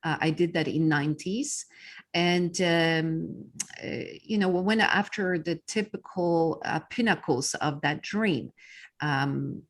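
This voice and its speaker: A woman's voice: low voice, takes it slowly. A middle-aged woman from Poland with a Polish accent, a guest telling her story in a casual interview with many ums and uhs. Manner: frequent fillers, earnest storytelling. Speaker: podcast guest, business coach.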